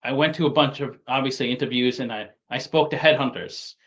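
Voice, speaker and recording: A man from New York City with a softened New York accent, talking casually as an interview guest, halting and often repeating words as he restarts. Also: mid-range voice, steady tone. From Staten Island, New York City. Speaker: guest investor.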